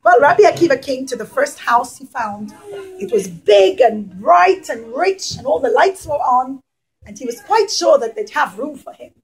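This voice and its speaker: A woman storyteller and teacher addressing young children directly, explaining as she goes in an expressive, interactive way. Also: sits high, normal speed, slight background noise, rough recording.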